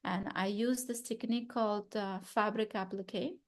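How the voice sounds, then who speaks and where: A woman in her thirties from Afghanistan explains her art at a gallery event. She talks in a thoughtful, searching way, restarting sentences and now and then laughing softly, in a voice of middling pitch. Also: fairly even intonation, normal speed. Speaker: exhibiting artist. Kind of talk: gallery artist talk.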